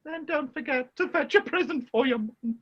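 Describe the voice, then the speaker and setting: Casting: actress for nagging panto dame, a widowed mum, extremely high-pitched and comically overdone, slightly muffled recording. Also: female voice, moderate pace, lightly acted. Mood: pleading. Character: scolding, dramatic middle-aged widowed mother, pantomime dame. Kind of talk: rhyming pantomime acting.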